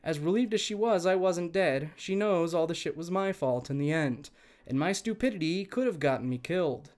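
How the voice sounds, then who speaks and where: A man working as a channel host, reading online stories aloud in an expressive, conversational way and speaking directly to listeners. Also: a little high, moderate pace. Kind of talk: reading online stories aloud, scripted narration with chatty asides.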